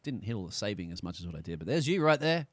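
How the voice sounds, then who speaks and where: Fast-talking low-voiced male commentator. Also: sing-song, dry recording. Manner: quick, friendly, calls people mate. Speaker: league commentator and host. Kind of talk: casual live-stream commentary interview.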